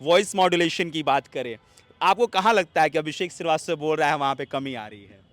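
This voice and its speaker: A man with a high voice interviews a guest, asking short questions and prompting her along. He often adds brief acknowledgements while she speaks. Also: brisk, fairly bright tone, no echo.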